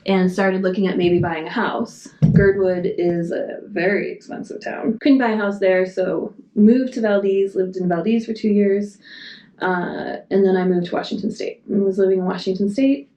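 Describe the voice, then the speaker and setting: A woman's voice: medium pitch, steady tone, normal speed, warm tone, echoey room, dull audio. A woman from Massachusetts telling her life story in a casual interview, rambling and matter-of-fact, with plenty of fillers. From Massachusetts, USA. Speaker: Antarctic program support worker.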